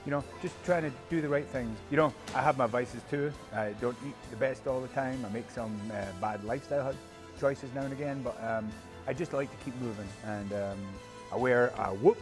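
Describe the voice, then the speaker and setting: A man in his late forties talking candidly about fitness in an interview, relaxed and full of fillers. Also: medium pitch, fairly quick, music in the background. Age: 40s. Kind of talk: interview sound bites.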